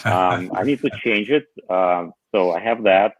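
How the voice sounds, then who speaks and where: A man chatting casually about home gadgets as a podcast panelist, halting often with fillers and restarts. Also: deep voice, dry recording. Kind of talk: casual tech chat. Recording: tinny phone-like line.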